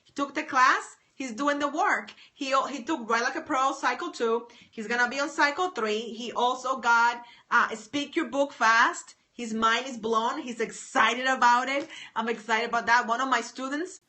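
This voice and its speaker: A woman's voice: a little high, normal speed, few pauses, pushed and bright. A woman writing coach on a live stream, talking enthusiastically to her viewers, greeting them by name and repeating her points. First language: English. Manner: enthusiastic, repetitive, reads out viewer names.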